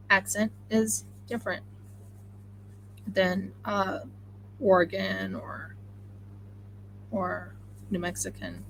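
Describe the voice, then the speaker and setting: Chatty Oklahoma-accented woman with a gravelly edge. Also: medium pitch, slight background noise, low-quality recording. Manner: chatty, rambling, excitable. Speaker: craft channel host. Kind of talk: casual live-stream chat while sewing.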